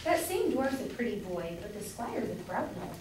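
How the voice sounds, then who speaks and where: A woman's voice: medium pitch, very animated, normal speed, lightly acted. A woman playing a meddling, chatty citizen's wife who comments on a play, in an amateur staged reading. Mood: meddling, eager. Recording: roomy, distant mic, faint noise.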